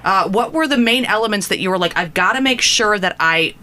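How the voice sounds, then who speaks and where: A woman's voice: medium pitch, expressive, brisk, sharp tone. A woman hosting a radio interview, talking with gushing fan enthusiasm. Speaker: radio host.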